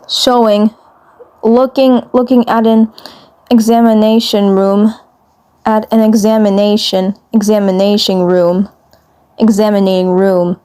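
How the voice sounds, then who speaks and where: A woman narrating a fan fiction story aloud in a halting way, often stumbling and rereading words. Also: mid-range voice, warm tone.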